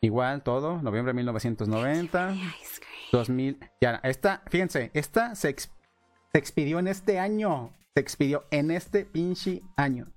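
A man's voice: a little high, animated, measured pace, soft background music. A man with a Mexican accent reading a post aloud and commenting on it in a casual, sarcastic way. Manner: sarcastic, laughs at what he reads.

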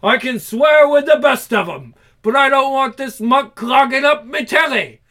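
A man's voice: very high-pitched, moderate pace, bright, forceful tone, pitched above the performer's own voice. A man voicing an outraged sixty-nine-year-old male lorry driver who blusters indignantly.

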